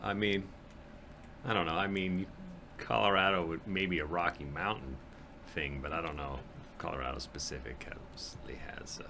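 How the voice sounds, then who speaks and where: A man from Montana, a musician guest on a podcast, talking in a laid-back way that often trails off quietly. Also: low-pitched, fairly even intonation, normal speed, creaky, noisy background. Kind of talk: casual chat. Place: studio.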